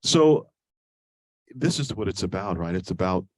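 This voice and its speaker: A man's voice: low-pitched, lively intonation, unhurried, darkish tone, no echo. An older man with a cold, sounding congested, giving an emphatic presentation to a school board as a search firm consultant, often asking "right?". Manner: emphatic, repeats himself, frequent ums and rhetorical "right?". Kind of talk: persuasive presentation at a public meeting. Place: board meeting room.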